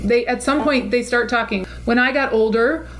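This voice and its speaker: A middle-aged woman speaks in a fairly high voice, musing her way through ideas in a relaxed interview. She laughs easily as she talks. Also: moderate pace, slight background noise. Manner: thoughtful, laughs often. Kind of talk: reflective conversational interview.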